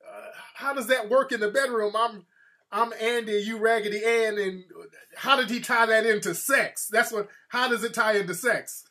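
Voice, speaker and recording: Male host, high voice, crude humor, quick follow-ups. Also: pushed and bright. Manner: blunt and joking, laughs often, quick follow-up questions. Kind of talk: casual chat.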